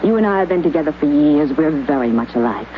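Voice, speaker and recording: A woman's voice: low-pitched, lively intonation, fast talker, slight background noise. An actress playing a world-weary woman who mocks and then pleads, in 1950s radio drama style. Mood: pleading. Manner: teasing, mocking, then pleading. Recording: dull lo-fi old radio recording.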